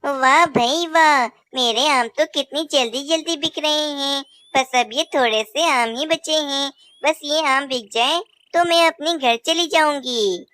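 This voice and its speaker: Woman doing a sweet high bird girl. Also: measured pace, bright, forceful tone. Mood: pleased. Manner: sweet, polite, eager. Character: cheerful young female bird mango seller. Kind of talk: cartoon voice acting.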